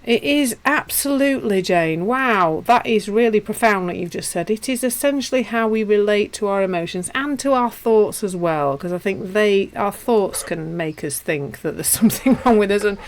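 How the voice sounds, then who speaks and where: A woman with a Yorkshire accent teaching and chatting casually with viewers on a live stream, self-deprecating and warm in her asides. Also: medium pitch, expressive, normal speed, few pauses. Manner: chatty and self-deprecating, with frequent restarts and laughs. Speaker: meditation teacher.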